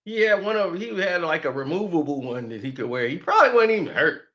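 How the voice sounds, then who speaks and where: A man's voice: fairly high pitch, sing-song, fast talker, bright, forceful tone, highs cut off. A man giving a heated, mocking sports commentary rant that repeats its points.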